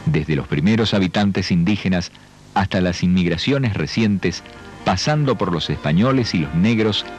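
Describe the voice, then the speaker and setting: A man presents a documentary. His delivery is formal and measured, following a script. Music plays clearly behind him. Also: low-pitched, lively intonation.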